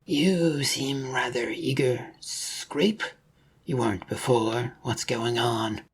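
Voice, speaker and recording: Husky, wary space detective, as a narrator voices them. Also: medium pitch, takes it slowly. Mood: suspicious. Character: wary, reasoning detective questioning fellow suspects aboard space yacht.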